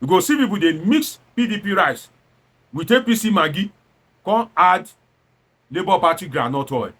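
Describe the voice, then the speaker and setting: Nigerian guy doing casual political commentary, highish voice thats really lively, bit husky. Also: normal speed. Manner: animated, explains with examples and rhetorical questions. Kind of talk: informal opinion commentary, slipping into Pidgin.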